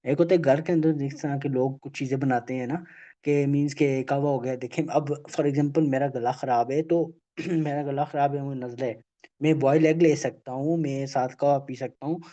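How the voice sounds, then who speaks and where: A man giving an online health awareness lecture, halting and full of filler phrases, checking in with "okay?" after almost every point. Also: fairly high pitch, mellow. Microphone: video call. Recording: dull lo-fi video call audio.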